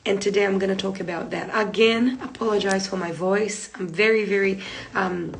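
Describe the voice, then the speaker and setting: A hoarse woman in her thirties talks hairdressers through a live tutorial, seated close to a phone in a small room. Her voice is gravelly and rough from a cold, and she circles back to her points in a chatty way. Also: mid-range voice, fairly even intonation, moderate pace, a little hiss or hum, poor audio. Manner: chatty, repeats points, gestures while talking. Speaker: hair treatment educator. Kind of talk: live-streamed tutorial talk. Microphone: phone camera close in front.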